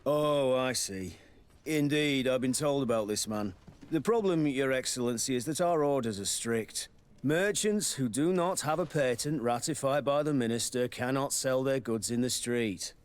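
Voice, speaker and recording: A man's voice: mid-range voice, normal speed, natural voice. A man playing a dutiful, courteous guard officer in a video game, formal and matter-of-fact with a faintly dismissive edge. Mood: courteous, firm. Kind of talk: video game dialogue acting.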